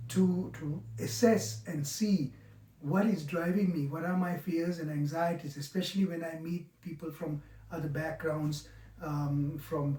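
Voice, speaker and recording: A man in his sixties with a Sri Lankan accent gives a reflective, explanatory talk in an earnest, halting manner, stressing key words. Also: fairly high pitch, dark tone. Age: 60s. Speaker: mindfulness teacher. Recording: roomy, echoing, distant microphone.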